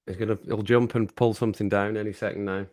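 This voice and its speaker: A man with a slightly low, faintly croaky voice rambles through a relaxed livestream from home. He chats loosely with viewers and laughs easily between remarks. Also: fast talker. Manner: rambling, chatty, laughs often. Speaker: livestream host reading chat. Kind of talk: casual chat to a live audience. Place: at home with his pets. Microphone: home streaming mic with compressor.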